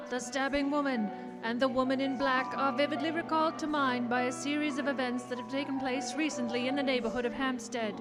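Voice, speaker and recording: A woman playing the reader of a lurid newspaper report, formal and measured, in a gothic radio drama. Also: high voice, close to an everyday voice. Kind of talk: formal scripted news reading in radio drama.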